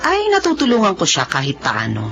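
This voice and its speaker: A woman's voice: fairly low pitch, wildly swooping pitch, fairly quick. A woman with a Filipino accent playing a practical, reassuring working-class mother and funeral singer in a radio drama. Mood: matter-of-fact. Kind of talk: radio drama acting and first-person narration. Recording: slightly dull lo-fi radio drama audio.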